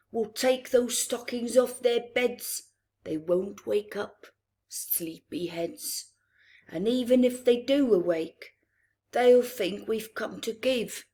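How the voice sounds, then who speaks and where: Sly male burglar, woman acting, storybook, conspiratorial, very slow delivery. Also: fairly high pitch, a little mellow, pitched above the performer's own voice. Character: sly male burglar plotting a Christmas break-in.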